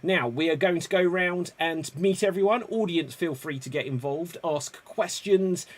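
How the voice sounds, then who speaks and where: A man's voice: fairly high pitch, normal speed. A man hosting a live improv game over a video call, upbeat and a bit rambling. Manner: upbeat, rambling, self-correcting. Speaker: improv show host. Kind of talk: energetic game-show hosting.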